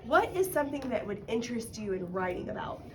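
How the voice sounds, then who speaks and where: Higher-voiced woman teacher, encouraging. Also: very animated, fast talker, noisy background. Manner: encouraging, explains by prompting with questions. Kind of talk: one-on-one writing lesson, casual and guiding.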